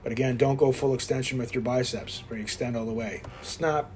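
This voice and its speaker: A man in his fifties talks viewers through his gym routine between sets, blunt and self-mocking as he coaches. His voice sits at an ordinary middle pitch for a man, and faint music plays behind him in the gym. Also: fairly even intonation, fairly quick, a little mellow. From Quebec, Canada. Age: 50s. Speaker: fitness vlogger. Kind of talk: casual workout commentary. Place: indoor gym.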